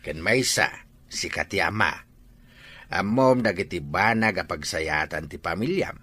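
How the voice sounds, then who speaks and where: A man's voice: low voice, animated, unhurried, pushed and bright, heavily acted. A man playing an earnest older father in an Ilocano radio drama, admonishing and advising with an Ilocano Filipino accent. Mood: fatherly advice. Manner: admonishing, emphatic.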